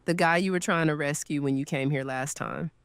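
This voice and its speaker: A woman's voice: sits low, brisk, clean tone, no room sound. A woman playing a kind, earnest newcomer's host in an audio drama, speaking casually and sympathetically. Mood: curious, casual. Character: kind, grateful woman welcoming a newcomer, gently guilty.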